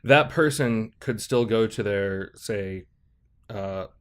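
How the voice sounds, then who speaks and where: A man, a professor on a podcast as a guest, explaining ideas in a measured, thoughtful way and checking in with "right?" as he goes. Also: on the low side, clean tone. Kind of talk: explanatory interview talk.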